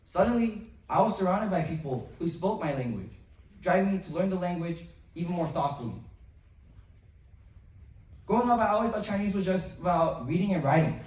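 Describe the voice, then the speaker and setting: A young boy in middle school delivers an earnest memorized speech to his school, pausing between phrases and sometimes stumbling over a restart. His voice sits a little high. He sounds far from the mic, with the echo of a large hall. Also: darkish tone. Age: young teen, 7th grade middle school student. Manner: earnest, with pauses, some restarts. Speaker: student speaker. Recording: roomy, distant from the mic.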